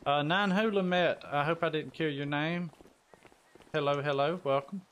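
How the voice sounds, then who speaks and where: A man's voice: fairly high pitch, steady tone, moderate pace, a bit bright, clean tone. A man streaming a game, chatting casually with his teammates and viewers. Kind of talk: casual team gaming chat. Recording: faint game noise behind voice.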